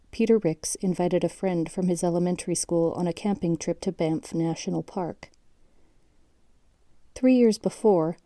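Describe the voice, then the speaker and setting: A woman playing a sober chronicler, narrating a scripted account in a measured, factual manner. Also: on the low side, warm tone, dry recording, clean studio sound, natural voice. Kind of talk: scripted documentary-like story narration.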